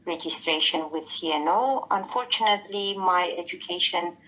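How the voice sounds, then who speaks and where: A woman from Romania with a Romanian accent, a nurse answering interview questions over a call, pausing often with many fillers. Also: fairly low pitch, fairly bright tone. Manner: halting, many pauses and fillers. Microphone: phone call. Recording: muffled lo-fi call audio.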